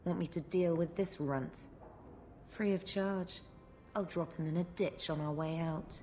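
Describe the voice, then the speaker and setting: A woman playing a deep-voiced, cynical enforcer. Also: steady tone, unhurried, warm tone, slight background noise, lightly acted. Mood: sardonic. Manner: sardonic, blunt, threatening. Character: tough, cynical female criminal enforcer, coolly menacing. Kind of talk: video game cutscene acting.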